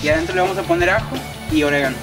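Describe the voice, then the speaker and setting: A young man with a Mexican Spanish accent hosting a casual cooking demonstration at an outdoor grill, relaxed and slangy, with many restarts. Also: high voice, rapid-fire, creaky, roomy sound, loud music behind the voice. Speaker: cooking show host.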